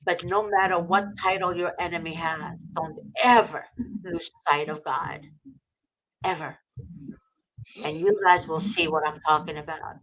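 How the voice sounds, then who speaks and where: Middle-aged woman from egypt, preachy and fired up, lowish bright voice. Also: fairly even intonation, unhurried, low-quality recording. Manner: fervent, preachy, repeats for emphasis. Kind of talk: impassioned faith talk.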